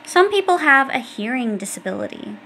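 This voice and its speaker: A woman's voice: sits high, sing-song, brisk, sharp tone, slight background noise. A woman reading an educational children's book aloud, explaining things directly to young listeners. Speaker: audiobook reader.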